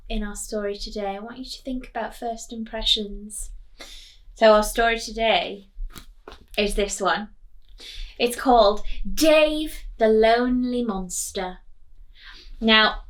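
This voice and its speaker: A woman with a high-pitched voice leads a children's storytime at a very unhurried pace. She sounds like a teacher, reading expressively and checking in with questions in a deliberate way. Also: a bit bright, low-quality recording. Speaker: primary school teacher reading a picture book to children.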